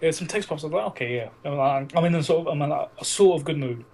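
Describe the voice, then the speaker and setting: A man's voice: fairly high pitch, fast talker, darkish tone. A man co-hosting a podcast, chatting casually and bluntly about films, with frequent swearing. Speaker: podcast co-host. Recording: noisy amateur podcast recording.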